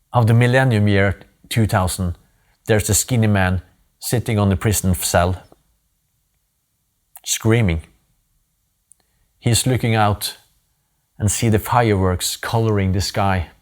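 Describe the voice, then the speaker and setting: A man in his forties from Norway with a Norwegian accent, giving an earnest, deliberate personal story talk with pauses for emphasis. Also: lowish voice, darkish tone.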